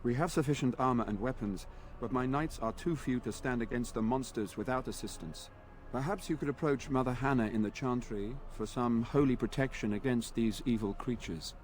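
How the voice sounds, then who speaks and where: A man's voice: mid-range voice, fairly quick, hardly any pauses, soft background music, natural voice. A man voicing a formal, earnest knight commander. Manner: formal, courtly speech. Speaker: game character. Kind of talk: video game voice acting. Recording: game audio with faint ambience.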